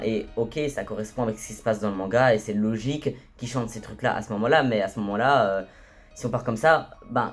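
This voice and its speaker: Skeptical man in casual slang. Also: medium pitch. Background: faint background music.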